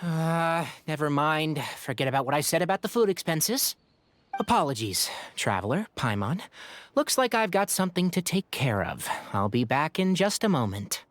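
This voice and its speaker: A man's voice: fairly high pitch, sing-song, moderate pace, fairly bright tone, a little hiss or hum. A man playing an earnest, practical young plant expert in a video game, speaking politely and precisely. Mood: resigned, apologetic. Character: earnest, responsible young male botanical expert, practical and courteous. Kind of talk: video game character acting. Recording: music behind.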